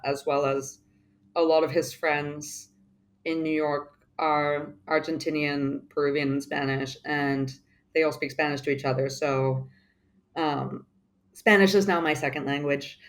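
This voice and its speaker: A young adult woman as an interview guest, talking in a thoughtful, casual way with many "like" and "um" fillers. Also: low-pitched. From mainly the UK.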